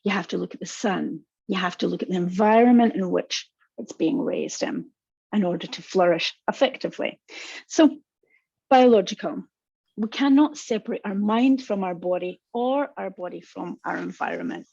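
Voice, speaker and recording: A woman's voice: medium pitch, normal speed, vocal fry, breathy edge, no echo. A woman with a strong Scottish accent, a counsellor giving a webinar in a reassuring way and talking straight to her listeners. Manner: warm, reassuring, speaks to the audience directly. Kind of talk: webinar presentation. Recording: dull, dry lo-fi webinar audio.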